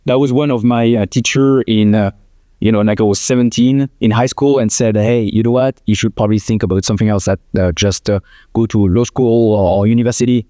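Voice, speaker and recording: A man's voice: fairly low pitch, steady tone, a little fast, dark tone, no echo, studio quality. A middle-aged man from France with a French accent, a company president answering interview questions hesitantly with many fillers. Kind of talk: interview conversation.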